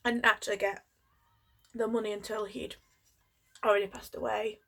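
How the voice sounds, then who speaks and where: A woman in her twenties from Yorkshire with a cold, telling a personal story in a hesitant way with many pauses and ums. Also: medium pitch, little pitch movement, vocal fry, low-quality recording. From Yorkshire, England. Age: 20s. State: has a cold, coughing. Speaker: vlogger.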